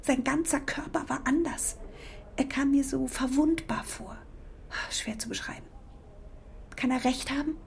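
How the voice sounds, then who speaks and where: Breathy, higher-pitched worried middle-aged woman. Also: unhurried, pauses often, slight background noise. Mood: anxious, searching. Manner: hesitant, apologetic, anxious. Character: worried middle-aged German wife and mother on holiday. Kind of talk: naturalistic radio drama dialogue.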